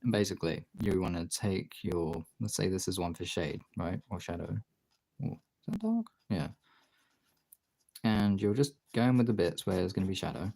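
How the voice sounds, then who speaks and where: Low creaky voice, casual art tutorial. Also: lively intonation, moderate pace, warm tone. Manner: rambling, thinking aloud while working. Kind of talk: casual hands-on tutorial chat.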